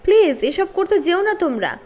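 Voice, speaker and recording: A woman's voice: extremely high pitch, sing-song, moderate pace, a little mellow, voice pushed up. A woman voicing an earnest, principled schoolgirl in a children's storybook reading, sincere and pleading.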